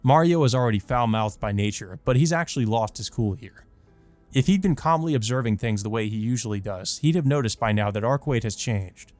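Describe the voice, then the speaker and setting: A man's voice: medium pitch, lively intonation, fairly quick, a little mellow, dry recording, faint music behind. Casual man reading a visual novel aloud. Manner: casual, rambling, many fillers like "like". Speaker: let's play host. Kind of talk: visual novel read-through with commentary.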